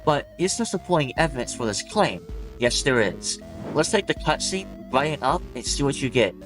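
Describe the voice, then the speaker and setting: A man's voice: mid-range voice, sing-song, normal speed. A man hosting a game theory video, narrating a scripted but conversational essay and putting rhetorical questions to the viewer. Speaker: YouTube game-lore theorist and host. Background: background music.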